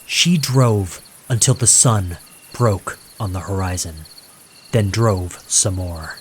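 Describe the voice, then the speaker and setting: A man narrating a scripted horror story in a suspenseful, deliberate manner with dramatic pauses. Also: low voice, slight background noise.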